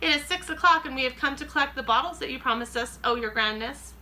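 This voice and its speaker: A woman's voice: sits high, fast, pushed and bright, voice pushed up. A woman reading aloud who voices a group of meek, timid elderly witches fawning over their leader.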